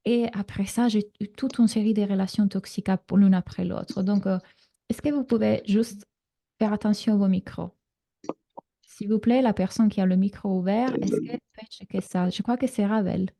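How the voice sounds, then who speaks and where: A woman's voice: mid-range voice, fairly even intonation, moderate pace, creaky, dark tone. A woman in her thirties from Italy with an Italian accent, giving a live online coaching masterclass in a reassuring way with frequent fillers, on a computer mic. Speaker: relationship coach.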